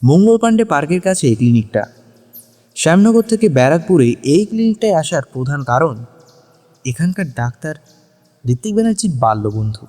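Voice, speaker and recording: A man's voice: fairly high pitch, very animated, a little fast, mellow. A man narrating a scripted dramatic audio story. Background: background music.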